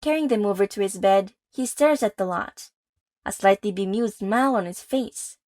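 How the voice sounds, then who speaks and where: A woman's voice: medium pitch, lively intonation, normal speed, darkish tone, dry recording, studio quality. A woman reading scripted narration for an audio drama as its storyteller. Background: music.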